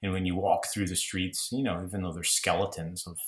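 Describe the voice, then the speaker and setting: Deep-voiced reflective man, roomy echo. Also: flat delivery, fairly quick. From Toronto, Canada. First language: Greek. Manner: reflective, rambling, many restarts. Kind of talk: casual conversation.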